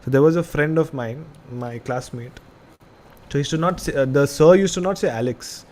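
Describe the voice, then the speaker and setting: A man's voice: fairly high pitch, moderate pace, darkish tone. A young man from India with an Indian English accent, a bit tipsy, rambling, laughing and reading out chat messages as a live podcast host. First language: not English. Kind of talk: casual live-stream chat and story reading.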